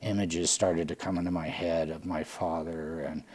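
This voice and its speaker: A man in his late forties from California, a Salvation Army lieutenant telling his own story candidly, halting and restarting often. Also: low-pitched, flat delivery, darkish tone, slightly rough. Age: late 40s. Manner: halting, with frequent restarts and fillers.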